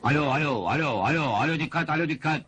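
An actor playing a wily, bossy fairground boss in a comedy film, with pompous huckster patter. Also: male voice, a little high, quick pace, breathy edge. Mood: showy, excited. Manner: loud huckster patter, pompous mock-formal announcements. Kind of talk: comic film dialogue and fairground barking.

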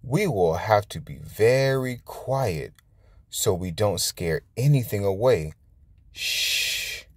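A gentle mother pig from a picture book, voiced by a man. She speaks slowly and carefully, with a lilting, varied melody. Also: fairly low pitch, animated, husky, no echo, exaggerated voice. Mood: hushed encouragement.

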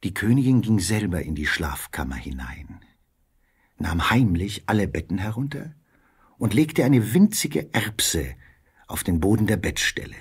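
Male fairy-tale narrator, low-pitched, extremely husky, scripted storytelling delivery. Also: sing-song, a little slow.

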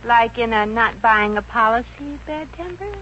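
A woman's voice: fairly high pitch, lively intonation, moderate pace, fairly bright tone. An American actress playing a brash, wisecracking young woman with a slangy, direct manner in a 1950s radio comedy. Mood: wry, scheming. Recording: muffled old radio recording with hiss.

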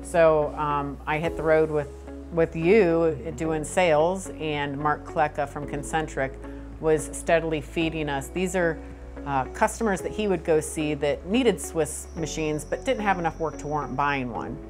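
Middle-aged woman exec, lowish voice, lapel mic, music bed underneath. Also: a little slow. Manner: conversational, many fillers and restarts. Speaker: company president. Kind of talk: shop tour interview. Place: studio set, then machine shop floor.

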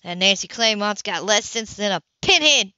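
A woman voicing a blunt, bossy older small-town shopkeeper in an audiobook, folksy and sharp-tongued. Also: medium pitch, expressive, moderate pace, clean tone, no echo, dull audio. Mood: scornful.

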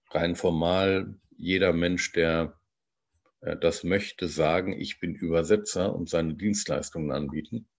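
A bilingual man, a translator, giving an online lecture over slides in a measured, explanatory way, with frequent hesitations. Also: sits low. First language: bilingual German and English.

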